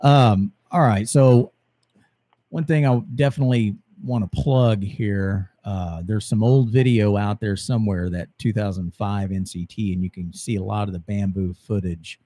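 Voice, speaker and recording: A man hosting a radio interview, asking easygoing questions, his voice getting hoarse. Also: lowish voice, normal speed, warm tone, no echo. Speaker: radio show host. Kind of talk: casual interview chat.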